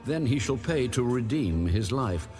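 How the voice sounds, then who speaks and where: A man narrating scripture formally as an audiobook reader, with solemn, measured phrasing. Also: mid-range voice, animated, a little mellow, faint music behind.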